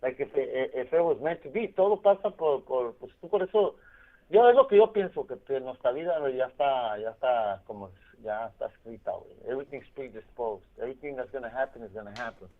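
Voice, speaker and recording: A man's voice: medium pitch, animated, fast talker. A man on a phone line, chatting casually in slang and switching between two languages. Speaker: phone caller from prison. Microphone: telephone. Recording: tinny, band-limited prison phone line.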